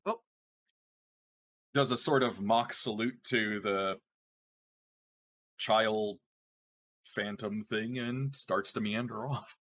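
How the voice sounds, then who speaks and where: Male tabletop player, slightly low and rough-edged, informal and jokey. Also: slow, pauses often, a bit bright. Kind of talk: casual joking table chat.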